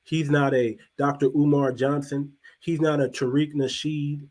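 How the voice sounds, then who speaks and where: A man hosting a casual talk show, speaking loosely, repeating and restarting words, and dropping his voice low for emphasis. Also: level tone, a little slow, a little mellow. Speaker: podcast host.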